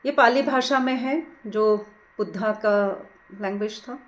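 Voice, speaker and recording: Woman, guided meditation teacher, soothing, very slow pace, fairly high pitch. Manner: soothing, gently instructing, unhurried.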